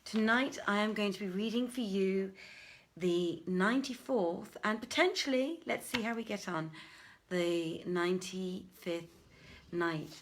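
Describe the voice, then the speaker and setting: A woman hosting an informal story reading, speaking deliberately with a theatrical touch as a storyteller. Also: medium pitch. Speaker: host and reader, theatre director. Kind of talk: informal livestream welcome, then dramatic storybook reading.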